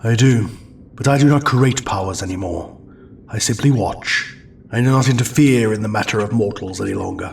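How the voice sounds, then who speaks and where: Guy doing old wizard, solemn n aloof, creaky voice, bit far off mic, audio drama. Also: fairly low pitch. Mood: stern, weary. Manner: solemn, deliberate. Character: ancient robed wizard creator, stern, weary, aloof. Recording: off-mic with faint noise.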